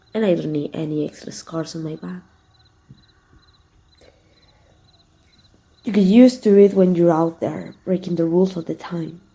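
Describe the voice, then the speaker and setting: Woman acting a dark, soft-voiced flirtatious villainess. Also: fairly low pitch. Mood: guarded, wistful. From Mexico. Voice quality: breathy, soft, sometimes whispering. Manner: teasing, intimate, unhurried. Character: flirtatious female villain, teasing, secretive, tender lover. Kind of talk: ASMR roleplay voice acting.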